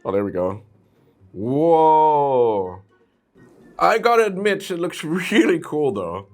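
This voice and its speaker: A man's voice: a little high, very animated, slow pace, faint music behind. A man hosting a casual, chatty product review, talking informally and playfully straight to his viewers. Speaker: host of a brick-set review channel.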